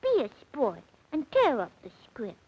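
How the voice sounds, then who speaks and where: A bold little girl, played by a woman, chirps back at the storyteller in a very high, bouncy voice. She is pert and playful, in old-time radio comedy style. The old radio recording is dull and carries clear hiss. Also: huge pitch swings, unhurried, full character voice. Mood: cheeky. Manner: pert, chirpy, defies the script.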